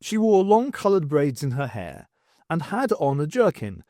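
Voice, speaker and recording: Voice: mid-range voice, sing-song, normal speed, dark tone, dry recording, clean studio sound. An audiobook narrator reading a scripted story and acting out the characters.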